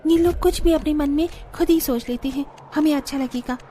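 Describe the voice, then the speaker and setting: A woman's voice: sits high, a little fast, warm tone, a little hiss or hum, voice pushed up, lightly acted. A woman voicing a fed-up young village daughter-in-law in a cartoon story, grumbling in a whiny, sarcastic way. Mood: resentful.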